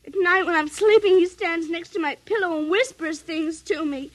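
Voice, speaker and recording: A small frightened child in a 1950s radio drama. The voice is extremely high and breathless, pleading in earnest. The sound is close and dry. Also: female voice, normal speed, dry recording. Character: frightened, pleading little girl.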